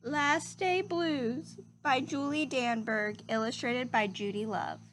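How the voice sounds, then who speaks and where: A woman with a high voice reads a children's storybook at a very slow, measured pace. She speaks like a teacher, addressing her young listeners directly in simple phrases. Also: a bit bright, a little hiss or hum. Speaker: teacher reading a picture book aloud.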